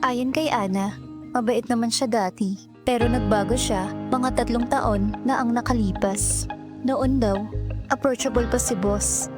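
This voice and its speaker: A woman's voice: fairly high pitch, steady tone, unhurried, lightly acted. A woman playing an earnest, put-upon young office employee in a Filipino audio drama, speaking naturally with a Filipino accent. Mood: pensive. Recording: loud music over the voice.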